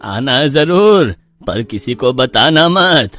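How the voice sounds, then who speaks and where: An actor playing an opinionated, lecherous village uncle in a cartoon story, with an emphatic manner. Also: male voice, medium pitch, very animated, takes it slowly, fairly bright tone, soft background music. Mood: flirtatious. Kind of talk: Hindi cartoon horror story.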